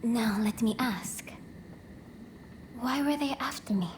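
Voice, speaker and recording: Slow-spoken, gracious noble princess. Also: female voice, fairly high pitch, noisy background. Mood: grave. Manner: formal, genteel, measured. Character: gracious, resolute noble princess, composed and idealistic. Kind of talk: dramatic video game cutscene acting.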